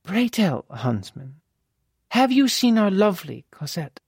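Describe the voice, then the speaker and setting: A sly, polished courtesan from a storybook, as an audiobook narrator puts her on. She is a woman of cool courtesy, formal and guarded, pitched clearly above the reader's usual voice. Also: sing-song, measured pace, darkish tone, no echo, clean studio sound, exaggerated voice. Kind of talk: audiobook character dialogue.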